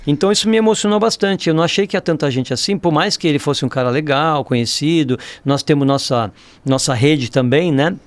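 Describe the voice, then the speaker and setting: Brazilian guy chatting as a guest, casual stories, bit higher voice, very dry recording. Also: fairly quick, no echo. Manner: informal, frequent tag questions. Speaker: podcast guest, writer. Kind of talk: casual conversational storytelling.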